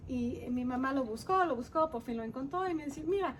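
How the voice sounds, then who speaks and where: Israeli-accented woman author, slightly high, halting delivery, noisy recording. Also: expressive. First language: Hebrew. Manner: halting, searching for words in Spanish. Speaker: author guest. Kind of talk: conversational interview. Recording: noisy background.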